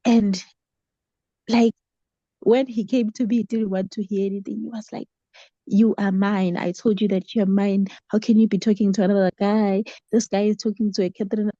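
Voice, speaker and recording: A woman's voice: medium pitch, level tone, normal speed, dark tone, no echo, lo-fi sound. A woman with a South African accent telling a personal story casually, acting out quoted dialogue as she goes. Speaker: book club member sharing a story.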